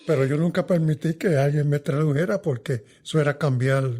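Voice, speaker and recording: An older man, a veteran journalist, answering interview questions in a thoughtful, hesitant way that often trails off softly. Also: fairly high pitch, a little fast. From New York.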